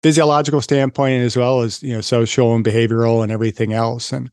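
A man's voice: medium pitch, flat delivery, quick pace, darkish tone, clean tone, dry recording, clean studio sound. A man hosting a conversational interview, explaining his own academic angle in an engaged way. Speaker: podcast host and physiology teacher.

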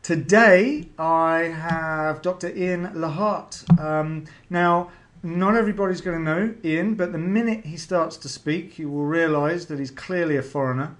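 A man's voice: fairly high pitch, measured pace, a little hiss or hum. A middle-aged man hosting a podcast, chatty and rambling, with a lot of ums. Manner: chatty, rambling with many fillers. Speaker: podcast host. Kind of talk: podcast interview.

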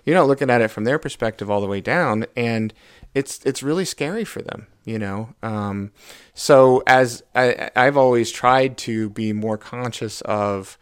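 A man's voice: medium pitch, a little slow, smooth, no room sound. Thoughtful middle-aged man, dry close sound. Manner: thoughtful, hesitant, many fillers. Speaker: guest, the host's father. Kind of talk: casual conversation.

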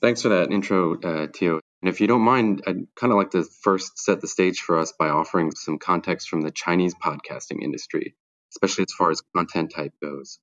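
A man's voice: deep voice, a little fast, a bit bright, lo-fi sound. A man co-hosting a podcast, reading prepared figures and questions. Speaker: podcast co-host, technology lawyer.

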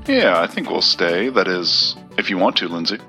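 An actor playing a guarded, loyal investigator in an audio drama, speaking in a dry, understated manner. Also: male voice, sits low, sing-song, normal speed, pushed and bright, faint music behind, exaggerated voice. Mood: reserved, probing.

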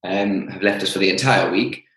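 A middle-aged man raised in Greece, speaking thoughtfully as an interview guest, with frequent pauses and ums. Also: sits low, a bit bright. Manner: thoughtful, hesitant with many ums. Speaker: guest, fashion branding lecturer. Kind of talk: conversational interview. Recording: echoey room.

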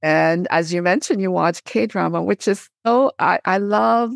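A woman's voice: on the low side, unhurried, gravelly, no echo, studio quality. A middle-aged woman from Korea speaking enthusiastically as a guest in a conversational podcast interview. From born in Korea, immigrated in 1975. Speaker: podcast guest, theologian and teacher.

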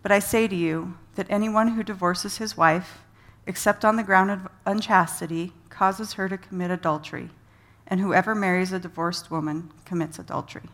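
A woman reading scripture aloud in an even, measured way. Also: on the low side. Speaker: volunteer scripture reader.

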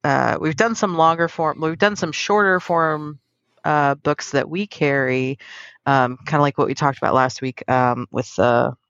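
Low gravelly woman chatting casually. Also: steady tone, unhurried, fairly bright tone, dry recording, lo-fi sound. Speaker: podcast host. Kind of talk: casual reflective podcast conversation.

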